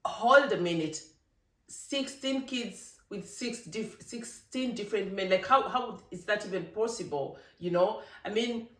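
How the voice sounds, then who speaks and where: A vlogger from Africa, giving an emphatic, animated opinion monologue with laughing asides. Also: mid-range voice. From East Africa. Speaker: vlogger, channel host. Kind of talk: casual opinion monologue to camera. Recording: roomy, distant from the mic.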